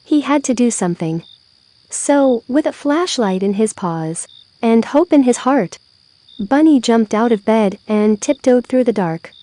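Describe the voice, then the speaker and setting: A woman narrating a children's story aloud, with expressive, deliberate phrasing. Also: a little high.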